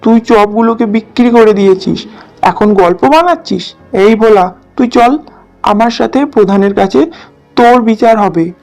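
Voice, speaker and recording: Bossy henchman voiced by a woman, cartoon dialogue, mid pitch, scolding, faint music. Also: moderate pace, a little mellow, natural voice. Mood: angry, accusing. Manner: brusque, scolding. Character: bossy, accusing henchman of the village chief.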